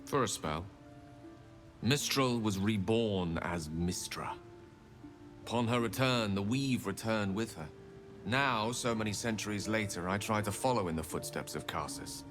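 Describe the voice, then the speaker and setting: An actor playing a scholarly, guilt-ridden wizard in a video game, speaking in an eloquent, measured, theatrical storytelling manner. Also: male voice, on the low side, fairly bright tone, big-room sound. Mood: solemn storytelling. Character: wistful, guilt-ridden scholarly wizard man telling his past. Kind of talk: dramatic video game dialogue. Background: music.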